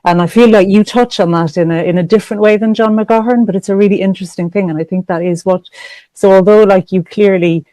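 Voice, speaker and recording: Hesitant Irish woman, mellow and dry. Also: medium pitch, fairly even intonation, fast talker, dry recording. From Ireland. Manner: hesitant, many "like" and "um" fillers. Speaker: book club participant. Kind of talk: casual discussion over video call. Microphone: video call.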